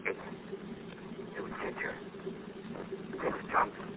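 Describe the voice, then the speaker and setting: An actor in 1940s American radio drama playing a frightened, rough carnival giant who pleads in halting, desperate slang. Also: male voice, narrow, tinny audio, lightly acted. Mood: weak, gasping. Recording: noisy, thin 1940s radio broadcast recording.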